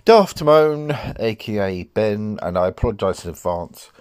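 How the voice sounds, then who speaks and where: A deep-voiced man in middle age, sounding nasal and a little worn from a cold. He talks in a measured, rambling way, often correcting himself as he goes. The recording is dry and close, with no room sound. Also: animated, darkish tone, smooth, no room sound. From United Kingdom. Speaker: podcast host. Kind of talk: solo podcast monologue.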